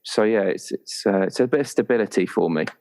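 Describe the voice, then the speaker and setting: Low-voiced man chatting haltingly. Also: creaky, a little mellow. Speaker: tabletop roleplay player. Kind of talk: casual chat, creating a game character.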